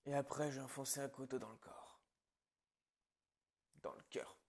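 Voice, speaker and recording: A man playing a calm psychopathic killer in an ASMR roleplay, speaking lines in a hushed, darkly casual way that slips into whispers. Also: medium pitch, unhurried, natural voice. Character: calm, menacing male psychopathic killer, falsely reassuring his captive.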